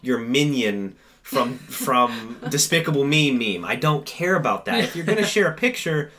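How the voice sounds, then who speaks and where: A man's voice: medium pitch, expressive, moderate pace, bright, forceful tone. A man co-hosting a podcast, chatting casually and giving emphatic opinions. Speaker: podcast co-host. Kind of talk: casual chat.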